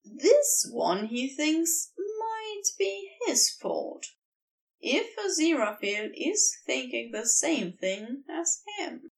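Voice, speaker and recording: A woman reading a romantic story as scripted narration, tender and unhurried in her storytelling, a little away from the mic. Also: high voice, sing-song, gravelly, distant mic, low-quality recording. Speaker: amateur fan fiction narrator.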